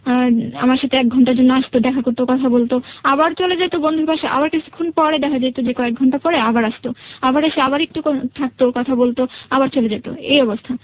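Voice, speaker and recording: High-pitched Bangladeshi woman, hesitant storyteller. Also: fairly even intonation, a little fast, narrow, tinny audio. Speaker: radio show guest. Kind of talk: personal life-story narration in an interview.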